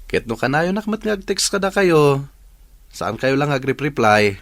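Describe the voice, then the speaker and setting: A man's voice: a little high, sing-song, moderate pace, dry recording. A man playing an easygoing, placating grown son and boyfriend in a radio drama, with a Filipino accent. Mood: placating, teasing.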